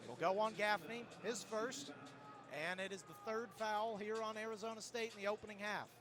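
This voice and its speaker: A man's voice: sits high, steady tone, normal speed, crowd noise. A man calling a game live as a radio play-by-play announcer. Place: indoor sports arena. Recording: noisy live sports arena broadcast.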